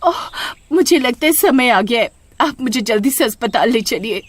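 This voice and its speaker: A woman's voice: fairly high pitch, expressive, normal speed, no room sound. A woman playing a young pregnant village wife in labour pain in an audio story, pleading between groans. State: in pain, acted.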